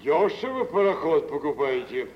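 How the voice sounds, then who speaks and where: Dignified older merchant, muffled old recording. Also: male voice, on the high side, big pitch swings, moderate pace. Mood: shrewd, measured. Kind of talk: 1950s radio stage drama acting. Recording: muffled, noisy old radio recording, hall reverb.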